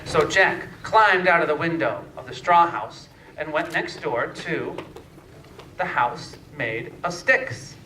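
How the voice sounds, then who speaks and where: A man narrating a scripted fairytale slowly and deliberately, with pauses, as a storyteller. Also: fairly high pitch, expressive. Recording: echoey room, distant mic, faint noise.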